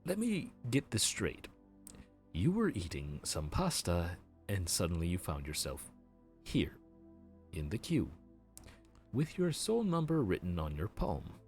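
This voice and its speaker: A weary grim reaper clerk with a very deep voice that rises and falls widely as he talks, played by a man. He speaks slowly, like a sarcastic, put-upon bureaucrat, with faint music behind him. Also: huge pitch swings, darkish tone, full character voice. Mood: puzzled. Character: weary male grim reaper running the underworld queue. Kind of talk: audio roleplay.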